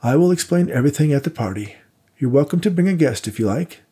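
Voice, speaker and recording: A man's voice: fairly high pitch, expressive, fast, warm tone, breathy edge, pitched above the performer's own voice. A man voicing a fussy, awkwardly polite elderly male donor with a raised voice. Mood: eager.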